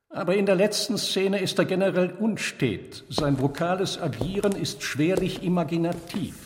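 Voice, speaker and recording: A man reading a scripted literary story with deliberate, dramatic phrasing and pauses. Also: fairly high pitch, a little mellow. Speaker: narrator. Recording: long hall reverb.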